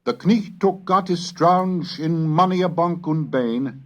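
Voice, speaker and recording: A man reciting medieval alliterative verse in a measured, declamatory, rhythmic way, as a reader of historical texts. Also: fairly high pitch. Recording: dull lo-fi 1970s cassette recording.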